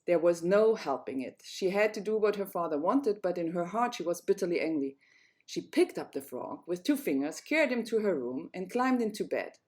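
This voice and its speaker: A woman's voice: fairly low pitch, sing-song, moderate pace, darkish tone. A woman storyteller narrating a fairy tale, reading it aloud.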